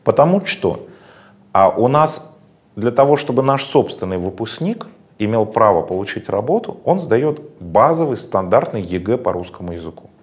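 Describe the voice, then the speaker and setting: A man speaking in a fairly low voice, answering at length in a political interview. He lectures emphatically, stressing points and filling pauses with hesitation sounds. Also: sing-song. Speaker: interview guest.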